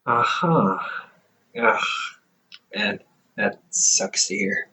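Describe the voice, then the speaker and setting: A goofy, caring older brother, as a man plays him talks slowly to the listener, his pitch leaping around with dramatic bursts and easy laughter. His voice sits at an ordinary middle pitch. He sounds a little distant from the mic. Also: big pitch swings, creaky, sharp tone, heavily acted. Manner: playful, chatty, laughs often, dramatic bursts. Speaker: audio roleplay performer. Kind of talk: audio roleplay to the listener.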